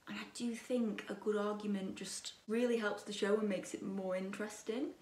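A young woman in her twenties chatting while she does her makeup at a dressing table, gossipy and opinionated, her voice a bit croaky. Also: medium pitch, fairly even intonation, normal speed, darkish tone, reverberant, recorded from a distance. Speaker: beauty vlogger. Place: bedroom dressing table with lit mirror, indoors.

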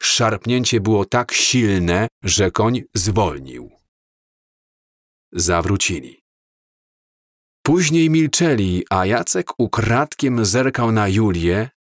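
Male audiobook narrator, unhurried and measured, lowish voice, dry close recording. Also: no room sound. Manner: slow, measured, calm reading. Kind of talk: scripted storybook narration.

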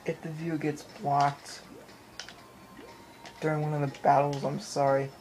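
A man's voice: on the high side, flat delivery, unhurried, darkish tone. Man doing casual gameplay commentary over game music. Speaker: gaming video maker, player. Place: small room at home, filming a TV screen. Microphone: handheld camera or phone mic. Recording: camera mic in room, game music. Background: video game music.

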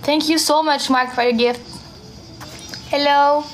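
High-voiced French woman on a phone stream. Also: steady tone. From France. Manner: soft-spoken, slow and friendly, answering comments one by one. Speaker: livestream host. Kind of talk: live stream chat, reading viewer comments. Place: small room indoors. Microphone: phone held at arm's length.

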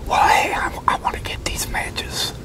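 A man in his sixties in a whispered ASMR roleplay as an easygoing wrestling promoter, talking haltingly as if in a one-sided conversation. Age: 60s. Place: open-sided concrete pavilion outdoors, at a metal picnic table.

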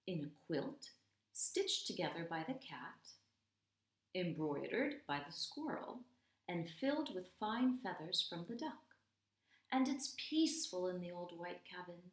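Woman, 30s, children's teacher and read-aloud storyteller, animated and questioning, with room echo. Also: fairly low pitch. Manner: deliberate, questioning, explaining simply to children. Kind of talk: expressive picture-book read-aloud for young learners. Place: small home room, indoors. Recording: roomy home video sound.

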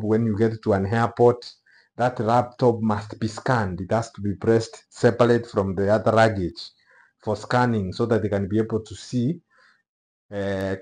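A middle-aged man with a Kenyan accent giving unscripted news commentary to camera, speaking haltingly in short phrases. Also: on the low side, a little mellow. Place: small office room, indoors.

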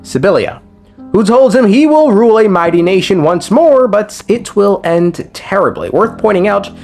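A man's voice: high voice, expressive, normal speed, a little mellow. A man giving a casual, joking recap commentary on game lore, with slang and swearing. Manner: irreverent, joking, profane. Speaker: video creator explaining game lore. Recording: music behind the voice. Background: background music.

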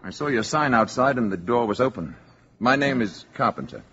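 An actor playing a calm, stern alien envoy in a 1950s radio drama, speaking formally and deliberately with a husky voice. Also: male voice, mid-range voice, a little hiss or hum. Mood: calm, polite. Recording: dull old 1950s radio recording.

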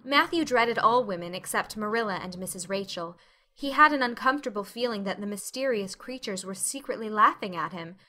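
Female audiobook narrator, mid-pitched and bright, for dramatic reading of classic fiction. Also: expressive, brisk. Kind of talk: scripted dramatic storybook narration.

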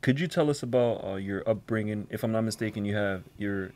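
A man's voice: on the low side, a little fast, darkish tone. A man in his thirties hosting a casual interview, attentive and affirming as he puts his questions. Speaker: interviewer, host. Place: recording studio control room, indoors.

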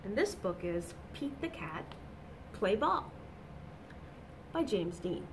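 A middle-aged woman reading a picture book aloud to children, expressive and deliberate, with emphatic exclamations, in a small room. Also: medium pitch, background noise. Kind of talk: animated read-aloud storytelling for children. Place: small indoor office or classroom.

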